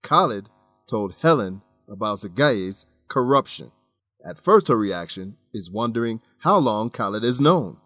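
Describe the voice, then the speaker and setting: A man hosting an English lesson as scripted narration, measured, instructive and clearly enunciated. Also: on the low side, very animated, fairly bright tone, no echo, faint music behind. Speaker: host and English teacher.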